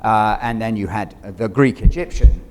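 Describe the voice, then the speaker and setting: A man's voice: lowish voice, normal speed. A man speaking as an actor guest in a conversational stage discussion, thinking aloud with asides. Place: on a theater stage. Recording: clear background noise, stage ambience.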